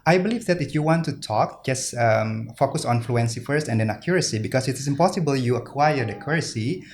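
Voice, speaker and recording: A man's voice: medium pitch, lively intonation, quick pace. A man from Indonesia answering interview questions earnestly, with many fillers and restarts. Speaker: guest speaker.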